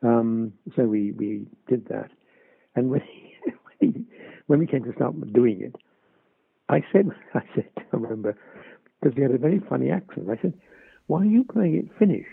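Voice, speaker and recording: An older actor reminiscing in an interview, hesitant with many restarts and chuckles. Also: male voice, mid-range voice, very animated, unhurried, gravelly, pauses often, slightly rough. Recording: dry, tinny phone-like line.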